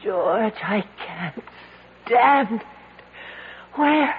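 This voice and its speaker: A woman playing a desperate, weakened woman trapped in a cave, halting and bitter, in an old-time radio drama. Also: medium pitch, animated. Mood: anxious pleading. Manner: halting, despairing, needling. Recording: tinny, band-limited old radio with faint hiss.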